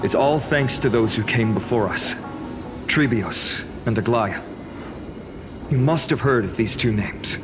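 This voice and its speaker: A man's voice: mid-range voice, a little slow, long pauses, natural voice. A man voicing an earnest, courteous hero in a fantasy game, formal and composed. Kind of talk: scripted fantasy game dialogue. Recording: long hall reverb, music behind.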